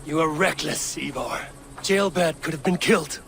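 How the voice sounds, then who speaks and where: An actor playing a stern, commanding Viking warrior who speaks tersely, in a video game cutscene. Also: male voice, on the high side, normal speed, vocal fry, a bit bright, a little hiss or hum. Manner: terse, authoritative. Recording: music under dialogue.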